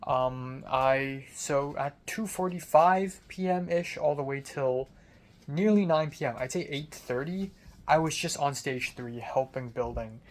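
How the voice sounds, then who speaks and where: A man's voice: a little high, measured pace. A young adult man talking in a casual, rambling personal diary style, informal and conversational, swearing mildly. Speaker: film student vlogger.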